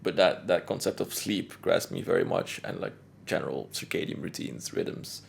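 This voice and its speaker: A young man from Belgium sits as a podcast guest. His voice sits low and turns gravelly, and he speaks in a relaxed, reflective way, laughing now and then between his points. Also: moderate pace, a little hiss or hum. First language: Dutch. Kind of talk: conversational interview.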